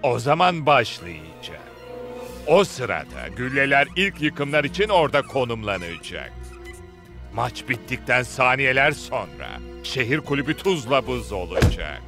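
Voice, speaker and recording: A menacing cartoon tycoon villain. He schemes and gloats in a commanding, forceful voice that swings widely in pitch, with music behind. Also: very animated, unhurried, full character voice. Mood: gloating, commanding. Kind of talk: animated cartoon dub.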